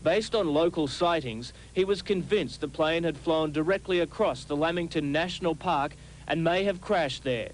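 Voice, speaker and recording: A man's voice: fairly high pitch, moderate pace, slight background noise, low-quality recording. A man with an Australian accent narrating a scripted television news documentary as a reporter. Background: music.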